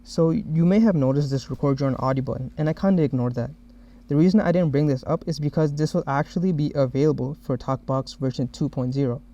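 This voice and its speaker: A man narrating a software demo as the developer who made it, explaining each step. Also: on the high side. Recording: dull, muffled lo-fi audio.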